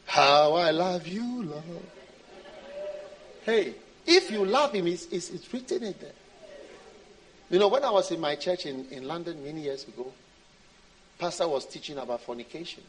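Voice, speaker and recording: A man's voice: a little high, animated, fairly quick, pauses often, poor audio. A man preaching a sermon in an informal, conversational way, repeating phrases for emphasis and speaking straight to his congregation. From Switzerland. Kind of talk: sermon with anecdotes and jokes. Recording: live audience sound behind the voice.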